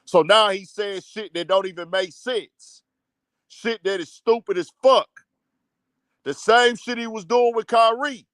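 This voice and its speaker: A man's voice: high-pitched, measured pace, fairly bright tone, no echo, clean studio sound. A man hosting a casual livestream commentary show, ranting bluntly and profanely. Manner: blunt, profane, heated rant.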